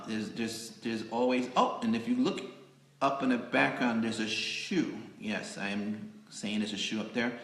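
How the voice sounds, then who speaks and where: A man hosting a casual live stream, chatting in a rambling, halting way as he fills time. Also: fairly low pitch, a bit bright. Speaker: magazine editor hosting a live interview show. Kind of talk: casual improvised livestream hosting. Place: indoors in a cake studio. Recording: roomy, echoing live stream indoors.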